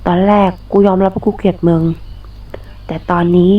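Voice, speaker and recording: A woman with a nasal voice voicing an intense young man slowly confessing his love, recorded muffled from a distance. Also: on the low side, steady tone, natural voice. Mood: tender. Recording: muffled, distant home mic.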